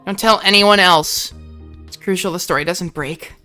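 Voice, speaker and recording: An earnest young heroine from a fan-made game, read out by a woman in a bright, forceful voice that sits a little low. Her lines come with bursts of excitement, and soft music plays faintly behind. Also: normal speed. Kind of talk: reading a visual novel aloud on stream.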